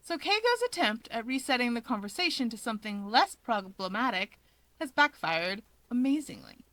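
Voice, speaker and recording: A woman narrating fan fiction in an expressive audiobook style. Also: fairly high pitch, normal speed, dry recording.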